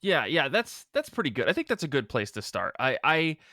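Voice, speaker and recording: A man's voice: fairly high pitch, very animated, a little fast, bright, forceful tone, no room sound, studio quality. A man hosting a podcast with casual, joking banter, often cutting himself off mid-sentence. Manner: jokey, rambling, self-interrupting. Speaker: podcast host. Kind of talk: casual chat.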